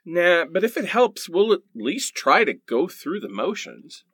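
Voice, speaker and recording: A man's voice: sits high, sing-song, unhurried, fairly bright tone, breathy edge, dry recording, exaggerated voice. A man playing an amiable, sardonic husband in a radio play. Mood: accepting, wry. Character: amiable, sardonic husband making peace with heartbreak.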